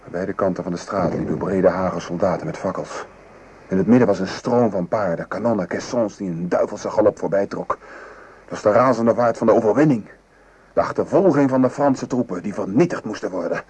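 A man playing a weary French artillery sergeant in a radio play, gravely recounting the horrors of war. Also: sits low, fairly quick, darkish tone. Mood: grim, appalled. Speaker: actor. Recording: noisy old radio play recording.

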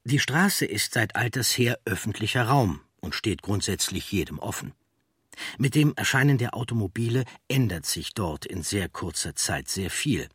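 A man reading scripted documentary narration in a measured, factual manner. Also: lowish voice, a bit bright, husky, dry recording, clean studio sound.

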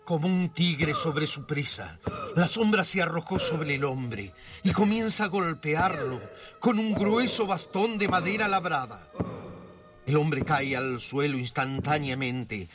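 A man's voice: a little high, measured pace, natural voice. A male actor plays an ominous radio serial narrator, taking his time over every phrase. The delivery is theatrical and solemn, built for suspense, with music running underneath. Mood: urgent, dramatic.

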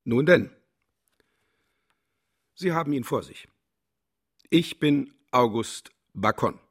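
Pompous, husky male boss, wildly expressive. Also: mid-range voice, slow, full character voice. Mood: grandiose. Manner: grandiose, theatrical. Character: pompous, cynical male slaughterhouse personnel chief posing as philosopher. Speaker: actor in a radio play. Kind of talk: German satirical radio play acting.

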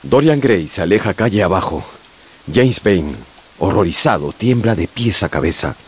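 A man narrating a radio novel in a dramatic, suspenseful style with measured phrasing. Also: low voice, lively intonation, breathy edge. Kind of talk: dramatic scripted audiobook narration.

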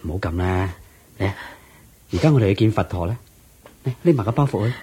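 A man's voice: sits low, animated, brisk, warm tone, slightly rough, full character voice. An actor playing a poor, devout, worried young husband and father in a radio drama, sighing and earnest, with a Hong Kong Cantonese accent. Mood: tender, troubled. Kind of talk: Cantonese-language Buddhist radio drama acting.

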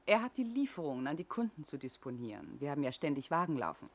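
An actress playing a discreet, dutiful office employee who answers politely and a little guardedly in a 1970s radio crime play. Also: female voice, low voice, big pitch swings, fast. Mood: discreet, confiding.

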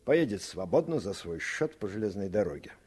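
A man's voice: on the low side, sing-song, a little fast, a little mellow, husky. A man playing a cold, formal, clipped police colonel in a Soviet radio play. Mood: subdued, grateful.

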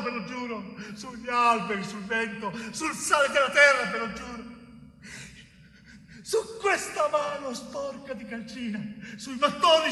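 Stage role: frightened young man, frantic and submissive, piercing and high, distant in a reverberant hall with music. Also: bright, forceful tone, exaggerated voice. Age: young adult. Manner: frantic, pleading, stammering repetitions. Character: terrified young bricklayer forced into a false confession. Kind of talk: theatrical stage monologue acting. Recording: echoey theatre hall from far off, music behind.

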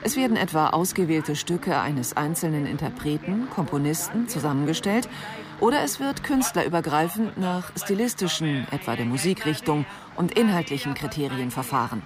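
A woman's voice: low-pitched, animated, moderate pace, crowd noise. A woman reading a scripted encyclopedic definition in a matter-of-fact way. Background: music.

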